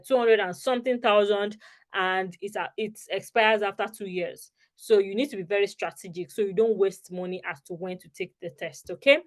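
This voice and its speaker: A young woman speaks over a video call, leading an online lesson with slides. Her voice sits at a middle pitch. She talks in a chatty way and checks in with her listeners often. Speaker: scholarship advice presenter.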